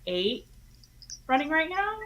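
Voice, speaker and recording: A woman's voice: high-pitched, sing-song, slow pace, crowd noise. A woman chatting casually as an interview guest, with some noise in the recording. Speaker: guest, audio drama team member.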